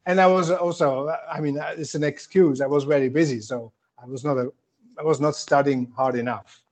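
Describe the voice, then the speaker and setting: A man answers interview questions in English, pausing often with fillers and restarts. His voice sits a little higher than most men's. He speaks in a measured, careful way. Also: steady tone, a little mellow. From German-speaking country. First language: German. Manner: hesitant, many fillers, measured. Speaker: guest.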